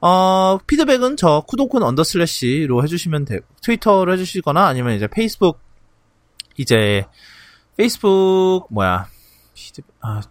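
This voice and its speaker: A man hosting a podcast in a casual, halting way, often clearing his throat between phrases. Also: sits high, sing-song, no echo. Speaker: podcast host. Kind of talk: casual podcast outro and announcements.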